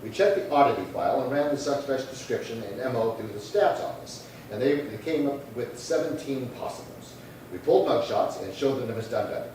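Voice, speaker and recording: Radio drama role: actor as clipped, businesslike male detective, mid-pitched, heard far off in a reverberant hall. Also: a little fast, close to an everyday voice. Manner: deadpan, clipped, procedural. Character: matter-of-fact male police detective sergeant, terse and polite. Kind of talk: 1950s radio police drama narration and dialogue. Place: live theatre stage reading. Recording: echoey hall, distant mic, faint noise.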